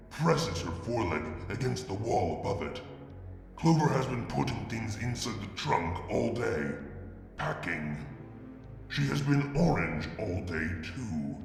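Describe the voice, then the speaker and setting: Slow, gravelly male story narrator. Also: on the low side. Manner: measured, dramatic reading. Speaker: fanfiction reader. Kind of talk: dramatic first-person story narration. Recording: echoey room with long reverb and faint music.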